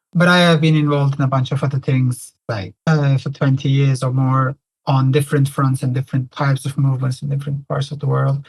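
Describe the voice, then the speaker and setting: A middle-aged man from Turkey, speaking as an interview guest in a relaxed, matter-of-fact way. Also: medium pitch, gravelly, a little hiss or hum, poor audio. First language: Turkish. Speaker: guest, climate organizer. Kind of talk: casual interview talk.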